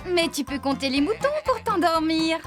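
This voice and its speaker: A very high-pitched, caring young farmer woman in a kids' cartoon. She is brisk and animated, used to taking charge. Music plays behind her. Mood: brisk, cheerful. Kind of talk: French children's cartoon dubbing. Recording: music under the voice.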